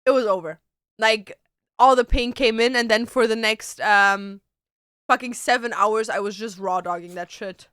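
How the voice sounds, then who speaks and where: Bright-voiced chatty young woman streamer. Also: fairly high pitch, moderate pace, clear, resonant tone, no echo, clean studio sound. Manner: chatty, loose, peppered with "like" and "dude". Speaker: streamer and tattoo artist. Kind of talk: casual chat answering viewer questions.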